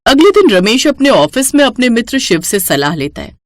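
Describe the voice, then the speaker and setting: A woman's voice: on the high side, sing-song, moderate pace, no echo. Woman narrating a moral story, dry sound.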